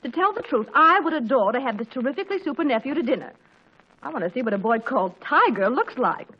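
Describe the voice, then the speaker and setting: A woman playing an amused, gently teasing middle-aged wife and mother in 1940s radio comedy, placid and sly with dry humor. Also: high voice, animated, fast talker, breathy edge. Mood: sly amusement. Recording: muffled vintage 1940s radio recording.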